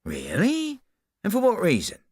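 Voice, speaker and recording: Brief: male reader doing a brusque, raised-voice inspector, noticeably higher than his own register, dry close sound. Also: very animated, a bit bright, no room sound. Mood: incredulous. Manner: brisk, bluff, familiar. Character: blunt middle-aged male police inspector. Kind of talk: audiobook character voice.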